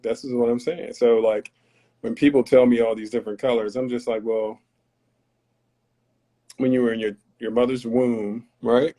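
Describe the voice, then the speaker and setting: A man's voice: mid-range voice, fairly even intonation, moderate pace, pauses often, warm tone. A man from North Carolina, a podcast guest talking in an earnest, philosophical, somewhat preachy way. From Tarboro, North Carolina. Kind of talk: reflective conversational talk.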